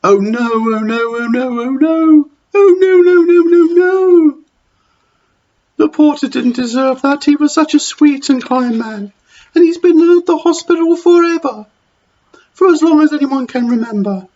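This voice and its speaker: A man voicing a gushing, soft-hearted older female dinner lady who talks in a high, fretful flutter. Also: a little slow. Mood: distraught. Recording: dull lo-fi recording.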